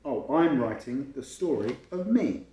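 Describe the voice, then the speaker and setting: A man voicing an earnest, unsure, daydreaming schoolboy from a picture book, with expressive delivery. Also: medium pitch, unhurried, natural voice. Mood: dreamy, unsure. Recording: roomy, echoing home recording.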